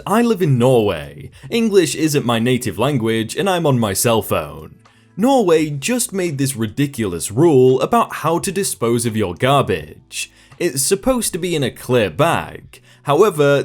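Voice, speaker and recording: A man reading online stories aloud in a scripted narration style, adding short comments between them. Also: mid-range voice. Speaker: narrator reading reddit posts.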